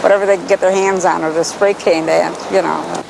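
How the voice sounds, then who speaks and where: A woman with a fairly low, creaky voice chats offhandedly in a street interview. Her phrasing is loose and casual. Traffic noise is clear behind her. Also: steady tone, a little fast. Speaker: local resident being interviewed. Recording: noisy street field recording.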